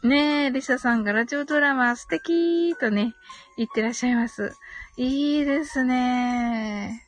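A woman with a fairly high voice hosts a casual live chat over background music. She reads out comments and answers them politely, with many small agreeing murmurs. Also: unhurried. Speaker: live audio stream host.

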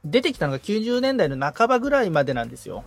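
Animated man chatting on a podcast. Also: sits high, very fast, creaky, no echo, soft background music. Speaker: podcast assistant, guitarist being coached. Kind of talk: casual explanatory conversation.

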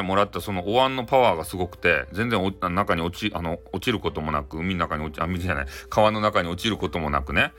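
A man tells a folktale in a casual, playful Hakata accent. His voice is bright and quick, with very lively pitch and a chatty, improvised flow. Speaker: storyteller. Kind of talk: casual improvised comic retelling of a bedtime folktale.

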